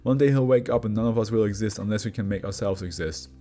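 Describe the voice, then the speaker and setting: A man's voice: lowish voice, quick pace, mellow, faint music behind, lightly acted. A man acting an agitated, unravelling male prisoner. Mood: fervent, unhinged. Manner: agitated, swearing, intense. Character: foul-mouthed condemned male prisoner, volatile, unravelling.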